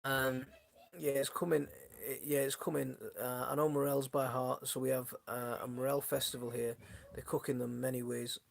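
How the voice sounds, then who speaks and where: Brief: male stream host, mid pitch with a gravelly fry, voice going hoarse, chatty and rambling with viewers. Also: level tone, moderate pace. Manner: rambling, many restarts, chatty and friendly toward viewers. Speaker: gardening channel host. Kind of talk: casual live-stream chat answering viewers. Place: his home, indoors. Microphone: phone.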